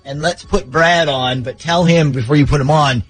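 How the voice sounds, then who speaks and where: A man's voice: a little high, normal speed, fairly bright tone, soft background music. An American man hosting a radio show, talkative and joking as he banters and runs phone calls. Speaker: radio host running a prank call segment.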